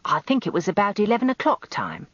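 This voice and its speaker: A woman's voice: mid-range voice, huge pitch swings, fast talker, a bit bright, no room sound, dull audio, voice pushed up. A British woman voicing a short, weaselly male handyman, apologetic and deferential as a witness in a radio story.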